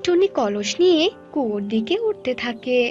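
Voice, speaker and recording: A woman's voice: high-pitched, expressive, normal speed, a little mellow. Woman narrating a cartoon story, slightly dull recording. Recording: dull lo-fi sound, music behind.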